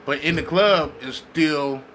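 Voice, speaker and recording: Argumentative man with a bright, sharp voice. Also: fairly high pitch, takes it slowly, a little hiss or hum. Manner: argumentative, slangy, profane, repeats words for emphasis. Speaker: podcast co-host. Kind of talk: casual podcast debate.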